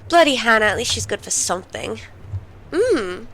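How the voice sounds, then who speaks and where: Woman playing a cheeky young woman, teasing, highish voice, very animated delivery, wry audio drama character. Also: moderate pace, slight background noise, rough recording. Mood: playful. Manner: playful, teasing, wryly dramatic.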